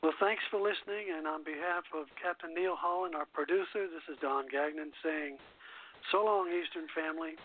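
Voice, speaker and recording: A man's voice: on the high side, a little fast. A man hosting a radio show, reading a scripted sign-off over a narrow, phone-like line.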